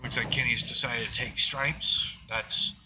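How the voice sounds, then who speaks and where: A man's voice: mid-range voice, fairly even intonation, a little slow, background noise. A man commentating a live pool match from a booth in a pool hall, talking in a loose, rambling way with many fillers. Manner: rambling, filler-heavy, talks of his loud voice.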